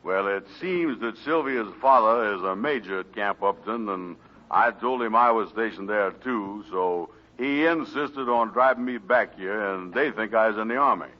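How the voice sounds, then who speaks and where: A man playing a bumbling low-voiced bluffer. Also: moderate pace, lightly acted. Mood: explaining. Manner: hesitant, stammering, bluffing. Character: bumbling smooth-talking man posing as soldier. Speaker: Andy, comic lead. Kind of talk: 1940s radio comedy acting. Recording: tinny old radio broadcast.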